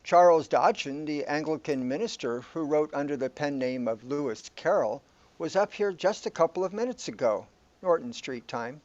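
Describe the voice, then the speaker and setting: An actor playing a calm, all-knowing guide in a surreal sci-fi radio drama, explaining things in a formal, matter-of-fact way. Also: male voice, on the high side, moderate pace, lo-fi sound, natural voice. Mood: informative.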